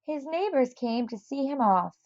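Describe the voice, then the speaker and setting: A woman reading a children's story aloud in an expressive narrating style. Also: sits high, normal speed, no room sound, lo-fi sound. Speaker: storybook reader.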